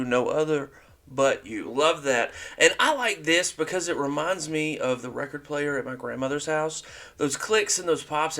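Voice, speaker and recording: A man's voice: medium pitch, fairly bright tone. A man hosting a music reaction show, chatting casually and upbeat between his comments. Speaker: host of music reaction channel.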